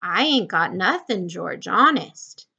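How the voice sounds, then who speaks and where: A woman voicing a big, childlike drifter man from a novel, timid and eager to please, in simple halting rustic speech. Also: medium pitch, sing-song, gravelly, pushed and bright, slightly rough, muffled recording, heavily acted. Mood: anxious. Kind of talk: audiobook-style character reading of a novel.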